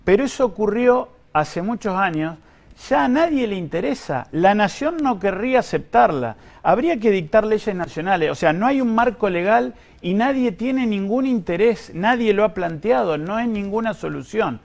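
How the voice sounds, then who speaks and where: A man's voice: high-pitched, animated, normal speed, vocal fry. An Argentine man answering in an interview as an official, explaining in a hesitant way and often repeating himself. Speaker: guest, pension fund director.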